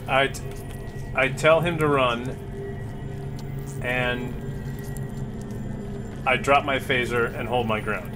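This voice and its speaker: A man's voice: medium pitch, steady tone, slow, long pauses, over music. Terse, decisive male player. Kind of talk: casual chat at a game table.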